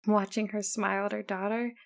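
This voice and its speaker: A woman's voice: mid-range voice, flat delivery, fairly quick, gravelly. A woman narrating a first-person story as an audiobook reader.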